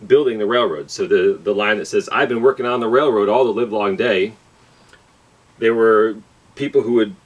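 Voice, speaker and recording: A man's voice: on the low side, husky, distant mic. A man hosting a children's storytime, explaining things simply and often rephrasing or repeating for young listeners. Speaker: library storytime host and singer.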